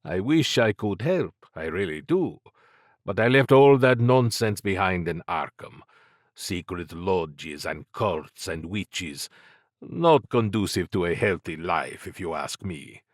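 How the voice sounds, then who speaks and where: A man voicing a worldly, weary, philosophical Mexican gentleman in an audiobook, musing with pauses. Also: sits low, animated, no room sound, clean studio sound, heavily acted. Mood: dismissive, wry. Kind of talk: audiobook character dialogue.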